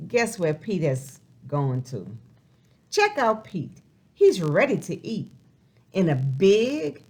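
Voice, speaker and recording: A woman reading a picture book aloud to young children, expressive and sing-song, posing questions as she goes. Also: fairly low pitch. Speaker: children's storybook reader.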